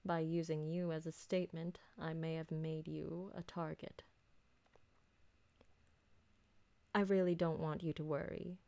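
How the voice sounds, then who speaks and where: A woman playing an elegant, possessive vampire noblewoman in a roleplay ASMR drama, speaking formally with deliberate diction and doting on her servant. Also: sits low, flat delivery, creaky, a little mellow, dry recording. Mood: worried.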